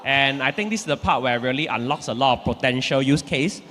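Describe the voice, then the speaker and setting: A man's voice: medium pitch, fast talker, sharp tone. A man from Singapore, explaining points as a panelist in a live panel discussion. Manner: explanatory, adds points from a global view. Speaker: company executive, panelist. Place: conference stage at a live event. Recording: reverberant live conference hall.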